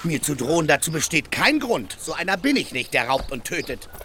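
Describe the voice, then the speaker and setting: Radio play squire: simple, boastful peasant, highish forceful voice, very lively intonation, faint noise behind. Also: male voice, fairly quick. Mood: defiant. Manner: garbled, earnest, self-important. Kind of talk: German radio play acting.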